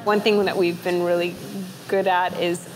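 A woman's voice: fairly low pitch, flat delivery, moderate pace, a little hiss or hum, rough recording. A woman with a Canadian accent, a rescue co-founder, speaking earnestly in a seated fundraising interview. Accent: Canadian English. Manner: earnest, with small restarts. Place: indoor kitchen table interview. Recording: music bed under speech.

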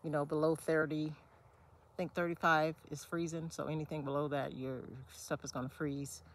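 A woman giving a relaxed, unhurried walk-through of her desert garden, with fillers and self-corrections along the way. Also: sits low. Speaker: homesteader giving a garden tour. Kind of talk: casual walk-through narration.

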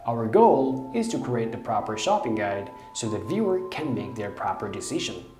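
A man's voice: lowish voice, big pitch swings, normal speed, over loud music. A young man narrating a scripted product review voice-over, reading with uneven stress and occasional slips.

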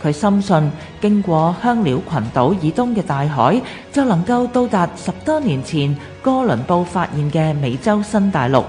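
A woman's voice: on the low side, animated, moderate pace, few pauses, a little mellow. A woman with a Hong Kong Cantonese accent reading scripted historical documentary narration for radio. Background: music.

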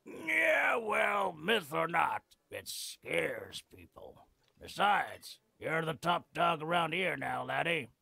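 An actor playing a cheeky, scruffy old veteran freeloader in a video game, with a raspy, drawling, theatrical delivery. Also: male voice, on the high side, very animated, takes it slowly, pushed and bright, no echo, full character voice. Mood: gloomy storytelling. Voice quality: gravelly, raspy. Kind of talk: video game character acting.

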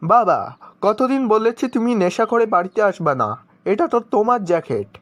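A man as an aggrieved, high-ish cartoon husband. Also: big pitch swings, a little fast. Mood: indignant. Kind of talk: cartoon joke skit voice-over.

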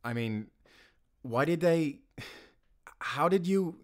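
Casting: male actor as anxious, soft-hearted dad and lover, slow halting delivery, audio roleplay. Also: a little high, animated. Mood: shaken, tender. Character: anxious, tender divorced father and lover.

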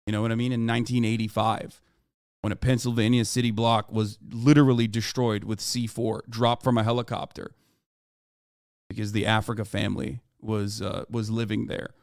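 A man's voice: lowish voice, level tone, normal speed, clear, resonant tone, no echo. Emphatic man lecturing on a livestream, dry sound. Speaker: political livestreamer. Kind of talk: livestream political commentary, reacting to chat. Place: small room at home, indoors. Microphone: close desk microphone.

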